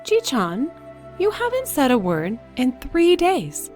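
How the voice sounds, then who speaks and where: A woman's voice: high-pitched, wildly swooping pitch, slow, a little mellow, faint music behind, pitched above the performer's own voice, exaggerated voice. A woman voicing a caring old Japanese grandmother in a children's storybook, speaking fondly to her husband. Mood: surprised.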